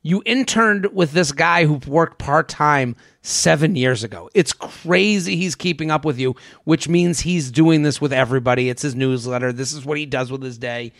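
A man with a crackly, fry-edged voice hosts a casual comedy advice podcast. His pitch sits a little above average and he riffs loosely, repeating himself for emphasis. The sound is dry and close. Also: normal speed, hardly any pauses, a bit bright, no room sound. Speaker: podcast host. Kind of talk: casual comedic advice chat, sometimes reading listener emails.

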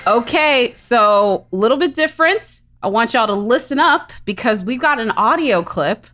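A woman hosting a movie podcast, playful and lively in casual chat. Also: a little high, normal speed, faint music behind. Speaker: podcast host.

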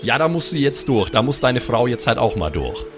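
A man's voice: mid-range voice, sing-song, brisk, over music, natural voice. A man playing Hansen, a folksy, teasing brother in an improvised tabletop role-play.

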